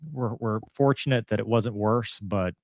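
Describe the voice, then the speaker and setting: A man hosting a casual aviation discussion, speaking in a halting, earnest, conversational way. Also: on the low side, dry recording, studio quality. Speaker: show host and commentator.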